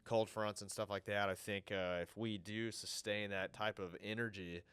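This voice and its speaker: Man co-host, low-pitched, stuffy-nosed, easygoing, dry close sound; remote-call podcast chat. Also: steady tone, a bit bright, clear, resonant tone, dry recording. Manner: easygoing, drawn-out fillers, upbeat sign-offs. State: nasal from a cold. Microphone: virtual podcast, remote call.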